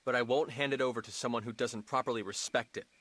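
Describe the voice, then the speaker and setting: A man's voice: medium pitch, fairly even intonation, fast, heavy background noise, lightly acted. An actor playing a brooding, earnest young male hero in a dramatic video game. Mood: guarded, defiant.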